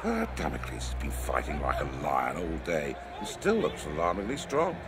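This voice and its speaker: An actor playing a cynical, greedy, worried Roman emperor in a video game, speaking with dry contempt. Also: male voice, extremely low pitch, huge pitch swings, moderate pace, loud music behind the voice, exaggerated voice. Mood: worried, scornful. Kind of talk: video game cutscene acting. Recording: loud arena crowd and outdoor noise behind.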